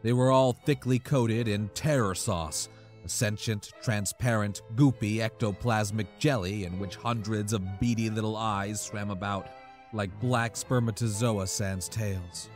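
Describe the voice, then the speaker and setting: A man's voice: fairly low pitch, fairly even intonation, a little slow, soft background music. A man narrating a scripted comic horror story in a dramatic, profane storytelling manner.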